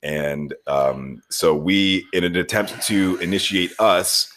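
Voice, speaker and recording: Male podcast host, low register, halting and informal, lots of fillers. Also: fairly even intonation, fairly bright tone, a little hiss or hum. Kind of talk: casual group chat.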